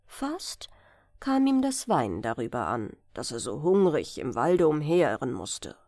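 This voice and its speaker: Female bedtime-story narrator, unhurried, animated intonation, close dry sound. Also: low-pitched, dry recording. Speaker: audiobook narrator. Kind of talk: expressive fairy-tale bedtime reading.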